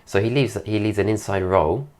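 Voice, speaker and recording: A man recovering from an illness, his voice deeper than usual and his nose congested, giving an admiring, relaxed commentary over a dance video. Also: normal speed. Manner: admiring, keeps praising details. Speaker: dance teacher reviewing a dance video.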